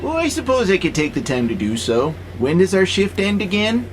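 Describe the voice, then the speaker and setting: An actor playing a gentle, philosophical freight robot in an audio drama, calmly persuasive and playfully sarcastic. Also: male voice, high voice, a little fast, slight background noise. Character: gentle, wry, philosophical male freight-hauling robot, peaceable.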